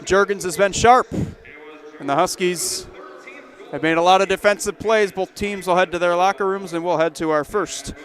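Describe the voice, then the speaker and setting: A man calling live hockey play-by-play, brisk and lifting his voice on rushes toward the net. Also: sits high, a bit bright. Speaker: play-by-play commentator.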